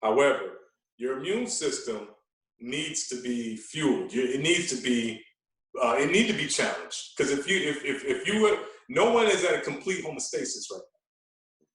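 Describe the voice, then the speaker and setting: Male podcast guest, very echoey room, slightly off-mic, earnest and deliberate, repeats for emphasis. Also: medium pitch, animated, a bit bright. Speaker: podcast guest, radio DJ and fitness coach. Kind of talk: casual conversational explaining. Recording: roomy echo, distant from mic.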